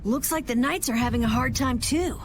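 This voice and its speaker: A woman voicing an excitable young adventurer in a fantasy game, eager and quick to alarm. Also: a little high, background noise, lightly acted. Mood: worried. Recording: game audio with loud music behind.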